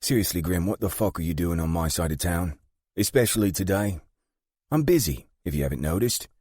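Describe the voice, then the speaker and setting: A man voicing a tough, foul-mouthed gang matriarch and grandmother with a British accent, blunt and sharp. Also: low-pitched, lively intonation, normal speed, dry recording, studio quality, voice pushed up. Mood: impatient, sharp.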